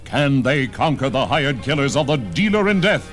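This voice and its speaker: A man's voice: medium pitch, big pitch swings, moderate pace, a bit bright. A man performing an urgent, bombastic B-movie announcer in the style of a 1960s film advertisement. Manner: bombastic, punchy. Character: booming, urgent male B-movie trailer announcer. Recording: vintage trailer, music behind.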